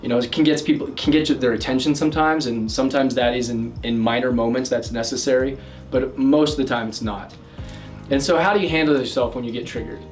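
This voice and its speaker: A loud, energetic man coaching on love and communication. His voice sits in an ordinary middle pitch, and he piles up fillers and restarts as he talks. He is heard a little away from the mic, with faint music underneath. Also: a little fast, far from the mic. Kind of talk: direct-to-camera advice talk.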